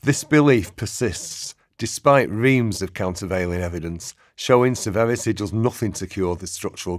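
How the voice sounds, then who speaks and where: Man as radio play narrator, very low pitch, earnest, formal, measured, scripted documentary style, dry recording. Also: dry recording, close to an everyday voice. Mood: grave, indignant.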